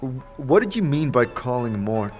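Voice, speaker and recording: A man's voice: mid-range voice, sing-song, moderate pace, faint music behind, pitched above the performer's own voice, lightly acted. A man playing a curious, laconic scarred wanderer in a fantasy audio drama, with a hesitant, questioning manner. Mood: curious, wary.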